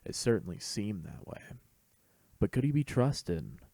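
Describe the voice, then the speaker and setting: A man's voice: on the low side, very animated, measured pace, vocal fry, warm tone, dry recording. A man reading scripted fan fiction as the narrator of an audio story.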